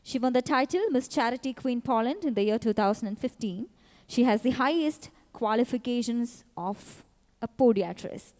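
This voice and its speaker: A woman announcer reading scripted introductions of pageant contestants in a measured, even way. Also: fairly high pitch. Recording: long hall reverb.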